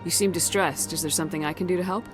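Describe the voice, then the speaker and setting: A woman's voice: lowish voice, steady tone, quick pace, over music, natural voice. An actress playing a composed, determined female military commander in a video game, direct and firm. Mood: concerned, inquiring.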